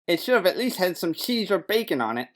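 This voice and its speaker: An actor voicing a flippant, wisecracking private detective's sidekick in a comic noir audio drama, joking in deadpan. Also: medium pitch, a little fast, close to an everyday voice.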